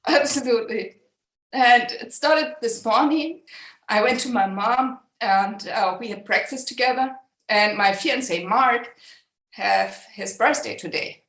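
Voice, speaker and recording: A woman from Germany, calling in over a video call and speaking in a halting, heartfelt way with frequent pauses. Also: on the high side, a bit bright, reverberant, low-quality recording. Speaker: caller. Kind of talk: emotional call-in sharing.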